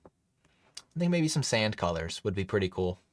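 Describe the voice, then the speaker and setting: A man's voice: on the low side, sing-song, a little slow. A man hosting a casual live stream, chatting loosely with many fillers and restarts while reading chat. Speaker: livestream host.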